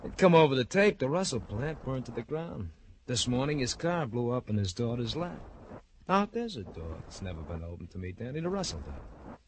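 A man's voice: medium pitch, sing-song, normal speed, natural voice. A man plays a smug American gangster gone respectable in a vintage radio crime drama. His theatrical delivery swoops widely, full of florid, needling false friendliness, over a slightly noisy old broadcast. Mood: sly, taunting. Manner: florid, theatrical, drawling repetitions, needling chuckles. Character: slick, mocking hoodlum turned respectable businessman, smugly false-friendly.

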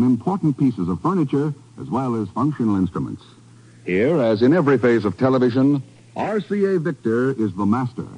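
A man's voice: mid-range voice, dark tone, husky, lo-fi sound. A man with an American accent reading scripted copy as a 1950s radio announcer.

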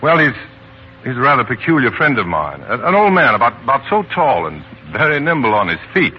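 A man's voice: mid-range voice, big pitch swings, fairly quick, slight background noise, natural voice. An actor playing an earnest, insistent, plain-spoken man in a 1940s radio play, with an emphatic theatrical delivery. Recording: dull lo-fi 1940s radio, faint music.

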